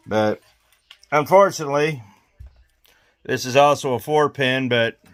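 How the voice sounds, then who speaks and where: A man with a medium-pitched voice hosts a hands-on repair video. He talks casually while he works, thinking aloud without hurry. His delivery is informal and explanatory, like a running demonstration. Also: a bit bright. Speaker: host of a radio repair vlog.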